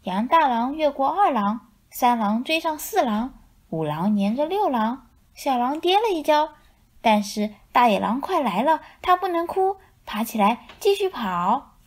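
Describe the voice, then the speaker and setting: 一位女性用富有表现力的方式给孩子朗读绘本故事。. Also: female voice, sits high, very animated, a little slow. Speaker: storyteller. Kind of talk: expressive children's picture book narration.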